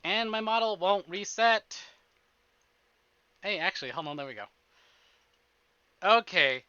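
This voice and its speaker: A man livestreaming a game with casual, expressive commentary, swearing freely and muttering to himself as he talks to chat. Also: sits high, a little slow, clean tone, no echo, dull audio. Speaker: live streamer.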